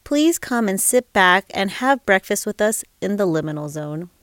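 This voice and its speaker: A woman's voice: medium pitch, animated, normal speed, a bit bright, dry recording, clean studio sound. A woman co-hosting a podcast, reading a scripted, welcoming introduction.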